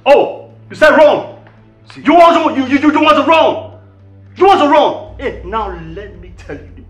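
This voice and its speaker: An actor playing a persuasive, hot-headed Nigerian hustler in a film drama, emphatic and repeating himself for force. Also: male voice, mid-range voice, sing-song, fairly quick, bright, forceful tone. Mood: angry, threatening. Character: hustling Nigerian man, persuasive, quick to threaten. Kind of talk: film drama acting in Nigerian Pidgin and English. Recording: roomy echo, music underneath.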